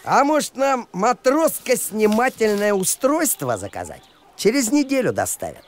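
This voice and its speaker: A man voicing a simple, teasing, good-natured talking dog in an animated cartoon. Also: high voice, normal speed, noisy background. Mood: sarcastic. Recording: music behind the voice.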